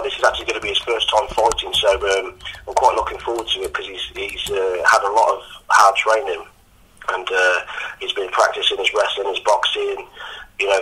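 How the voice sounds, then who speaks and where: Croaky, husky coach. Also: male voice, fairly low pitch, pushed and bright, rough recording. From England. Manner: hesitant, full of ums and restarts. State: croaky after training. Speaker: MMA coach. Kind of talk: casual interview answers.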